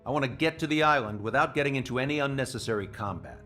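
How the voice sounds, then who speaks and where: A man plays a decisive squadron leader in a video game, briefing fellow pilots. His pitch sits in the middle range, with faint music behind him. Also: quick pace, fairly bright tone, natural voice. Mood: decisive, commanding. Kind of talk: video game cutscene acting.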